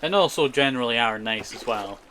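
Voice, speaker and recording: Man streaming casually over clear background noise. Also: medium pitch, animated, fairly quick. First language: Scottish Gaelic. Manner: chatty, rambling, repeats short phrases. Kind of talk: casual live gaming commentary with chat.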